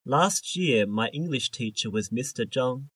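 A man reading scripted English lesson sentences for a listening test, in a measured, carefully enunciated way. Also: mid-range voice, expressive, fairly bright tone, breathy edge, dry recording. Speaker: exam listening test reader.

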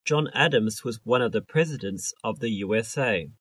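A man reading scripted English lesson sentences for a listening test, in a measured, carefully enunciated way. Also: lowish voice, expressive, a bit bright. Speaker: exam listening test reader.

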